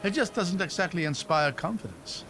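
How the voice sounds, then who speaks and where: An actor playing a regretful, wry travelling companion in a video game cinematic, articulate and formal with dry sarcasm, under music. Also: male voice, high voice, animated, normal speed, pushed and bright, exaggerated voice.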